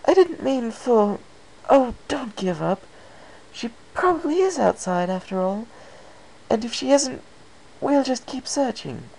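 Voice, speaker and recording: A performer voicing a refined, caring female pony in a storybook reading, with a genteel and measured manner. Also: medium pitch, expressive, gravelly, darkish tone, voice pushed up, rough recording. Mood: consoling.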